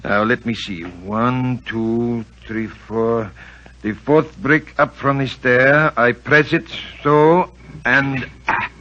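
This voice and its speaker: Uneasy, hesitant hotel owner; lo-fi vintage radio. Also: male voice, mid-range voice, steady tone, pushed and bright. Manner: halting, fills pauses with hesitations. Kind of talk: 1940s radio acting. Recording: dull old radio recording with hiss.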